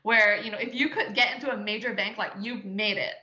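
A woman's voice: medium pitch, fairly even intonation, fairly quick, sharp tone. A woman, chatting as an interview guest with lots of fillers and frequent laughs. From Singapore. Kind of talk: casual interview conversation. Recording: roomy, dull lo-fi sound.

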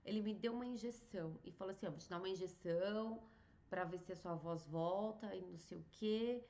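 A woman, hosting a podcast and telling a personal story in a chatty, animated way, with many fillers and restarts. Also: mid-range voice, brisk, muffled recording. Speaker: singer and podcast host. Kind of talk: casual storytelling monologue.

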